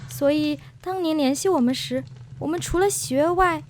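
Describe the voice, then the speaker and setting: Woman as a polite, high-voiced young reporter. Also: normal speed, close to an everyday voice. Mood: polite, earnest. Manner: courteous, apologetic, careful. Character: polite, earnest young female student magazine reporter. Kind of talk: radio drama dialogue. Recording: clear background noise and ambience.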